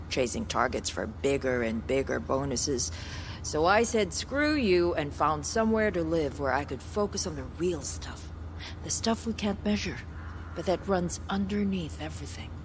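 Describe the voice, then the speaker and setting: A woman's voice: low-pitched, lively intonation, moderate pace, background noise. An actress playing a blunt, spiritual, resolute woman survivor in a video game cutscene, with a matter-of-fact delivery. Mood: frank, defiant.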